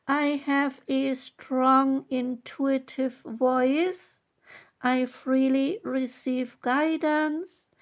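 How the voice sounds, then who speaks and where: Woman, high voice, oracle card reader; slow, measured read from the guidebook. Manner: slow, measured reading with long pauses. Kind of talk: reading oracle guidebook text aloud.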